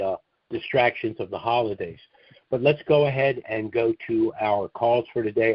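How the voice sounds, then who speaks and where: Male conference call host, middling-low voice, halting explainer taking caller questions, dull lo-fi sound, dry with no room echo.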